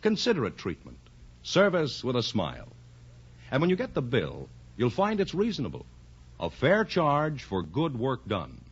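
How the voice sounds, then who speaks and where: A man's voice: mid-range voice, wildly swooping pitch, moderate pace, breathy edge. A man reading a scripted 1950s radio commercial as an announcer, with an emphatic salesman's delivery. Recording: dull vintage radio recording.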